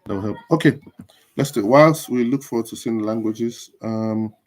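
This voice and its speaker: A Nigerian man whose first language is Igbo, hosting a casual live stream and chatting with viewers, unhurried with many fillers. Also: mid-range voice, a little mellow.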